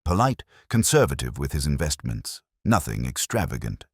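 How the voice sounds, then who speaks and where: Man reading as a wary, deep-voiced older banker. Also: big pitch swings, husky, dry recording, studio quality, heavily acted. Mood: wary, polite. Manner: measured, formal, reserved. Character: wary, polite sixty-year-old male bank manager.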